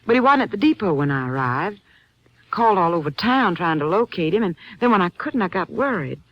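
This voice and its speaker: An actress playing a composed, subdued widow in an old-time radio drama, giving measured, earnest answers. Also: female voice, on the low side, big pitch swings, dull audio. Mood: measured recollection.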